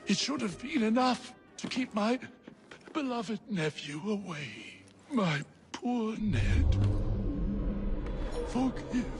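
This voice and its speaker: A man's voice: high voice, expressive, takes it slowly, pauses often, full character voice. A man acting as a grieving, guilt-ridden figure begging forgiveness in a melodramatic video game cutscene. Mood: anguished, remorseful. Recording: game cutscene under loud music.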